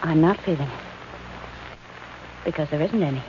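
A woman's voice: sits low, moderate pace, lightly acted. A woman playing a level-headed, caring female companion to a detective, practical and a little hesitant, in 1940s radio style. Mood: uneasy. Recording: noisy, muffled old-time radio recording.

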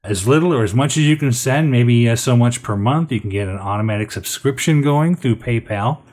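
A man's voice: mid-range voice, fairly quick, a little mellow. A man hosting a radio show in a casual, conversational sign-off style with a folksy, hesitant manner.

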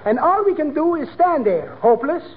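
High-pitched, excitable Greek restaurateur. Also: male voice, a little fast. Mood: earnest, comic. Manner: malapropisms, fractured grammar. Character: excitable Greek restaurant owner mangling English. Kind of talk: 1940s radio comedy acting. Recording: muffled noisy old radio, studio audience.

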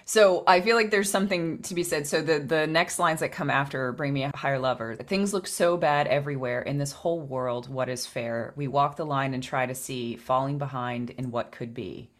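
Woman w/ really deep voice, fry, thinking out loud about spiritual stuff. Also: moderate pace, hardly any pauses. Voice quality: low voice with vocal fry. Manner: reflective, talks through ideas with many restarts. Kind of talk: spiritual podcast chat.

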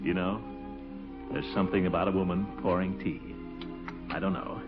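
An actor playing a pompous, flustered middle-aged small-town bachelor uncle in a 1940s radio comedy, with a blustery, exasperated manner. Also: male voice, low voice, level tone, moderate pace, music in the background, lightly acted. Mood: coy, eager. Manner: blustery, exasperated, swooping delivery with chuckles. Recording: dull, lo-fi 1940s radio recording.

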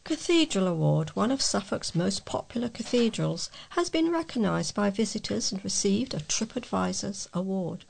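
A woman's voice: medium pitch, animated, normal speed, vocal fry, few pauses, darkish tone, slightly rough. A woman reading scripted local news as a volunteer newsreader.

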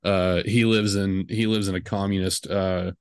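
A man's voice: sits low, flat delivery, moderate pace, clean tone, no echo, studio quality. Rambling, joking young man host. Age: 20s. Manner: rambling, joking, lots of fillers. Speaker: podcast host. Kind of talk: casual podcast chat.

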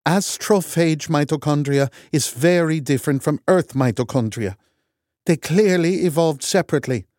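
Brief: dour Norwegian woman scientist, dismissive and high-pitched, put on by a man. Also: moderate pace, darkish tone, no room sound, clean studio sound, natural voice. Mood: scornful. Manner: condescending, dismissive.

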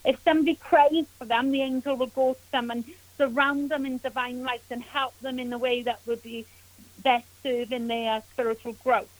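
Welsh lady guest on radio, high voice, gentle and gushing. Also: level tone, normal speed, poor audio. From Wales. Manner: earnest, gentle, effusive. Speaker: guest, spiritual healer and author. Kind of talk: radio interview answers.

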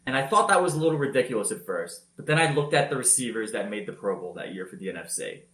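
A man telling football highlights in a casual podcast chat, energetic and loud-voiced. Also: fast, echoey room. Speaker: podcast co-host. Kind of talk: casual sports recap.

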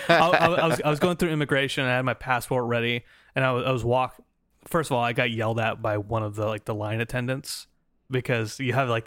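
A man's voice: medium pitch, fairly bright tone, no echo, studio quality. An American man co-hosting a podcast, telling a travel story in a rambling, laughing way with lots of restarts. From United States. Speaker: podcast co-host. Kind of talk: casual storytelling chat.

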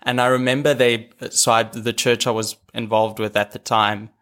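A man's voice: mid-range voice, flat delivery, moderate pace, fairly bright tone, clear, resonant tone. A young South African man, a native Afrikaans speaker, talking in a reflective, hesitant way as a guest on a video call. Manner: reflective, many ums and restarts. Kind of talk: casual chat.

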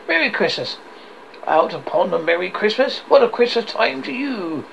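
A reader putting on a grumpy old miser from a storybook, stern, scornful and growling, with a creaky edge. The delivery swings widely in pitch, indignant and dismissive. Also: sing-song, a little slow. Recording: dull audio with faint noise.

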